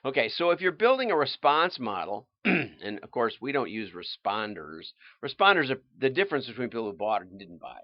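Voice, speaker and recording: A man hosting a livestream, explaining things informally in a halting, think-aloud way with restarts and asides. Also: medium pitch, big pitch swings, no room sound, dull audio. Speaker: livestream host and marketing consultant.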